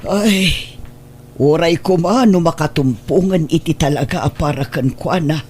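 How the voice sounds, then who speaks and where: A man's voice: a little high, measured pace, darkish tone, a little hiss or hum, natural voice. A man playing an anxious elderly parent fretting over a sick grown child, in a radio drama. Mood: consoling, worried. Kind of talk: Ilocano radio drama acting.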